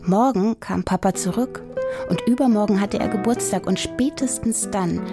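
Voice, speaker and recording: A woman reading a children's story as an expressive audiobook narrator. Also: medium pitch, moderate pace, loud music behind the voice.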